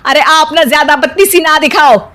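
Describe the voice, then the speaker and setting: A woman's voice: high-pitched, normal speed, a bit bright. A woman playing a bossy, sarcastic middle-aged mother-in-law in a comedy cartoon, with a scolding, exclamatory delivery. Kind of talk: Hindi comedy cartoon voice acting.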